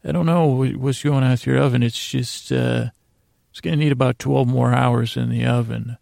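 A man hosting a sleep podcast in a soothing, rambling bedtime monologue, hesitant and meandering, with long pauses and stammered restarts and a gravelly voice. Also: mid-range voice, fairly even intonation, darkish tone, dry recording. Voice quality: creaky, gravelly. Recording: faint music under a dry voice.

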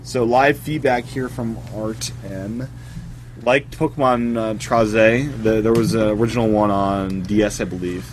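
A man's voice: on the low side, steady tone, a little slow, background noise. A man hosting a casual video game podcast who chats, laughs often and trails off mid-sentence. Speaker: podcast host. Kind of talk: casual chat about video games.

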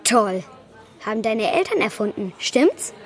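A woman's voice: a little high, very animated, unhurried, soft background music. A female performer playing a friendly, curious boarding-school girl in a children's radio play. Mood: curious, teasing.

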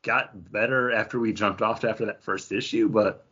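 Low, husky man chatting casually. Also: fairly even intonation, moderate pace, sharp tone. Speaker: comics podcast co-host. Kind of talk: casual chat, book review. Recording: dull, lo-fi podcast audio.

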